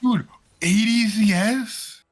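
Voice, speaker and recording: A man casting a live esports match, joking around and getting excited about the plays. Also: sits high, takes it slowly. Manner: joking, excitable, laughs often. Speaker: esports caster. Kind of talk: live game commentary.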